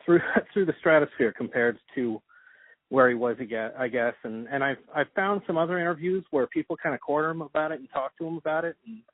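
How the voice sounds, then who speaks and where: A man interviewing casually, asking his questions in a halting, hesitant way over a call. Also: on the high side, no echo. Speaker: interviewer, podcast host. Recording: tinny narrowband phone-like sound.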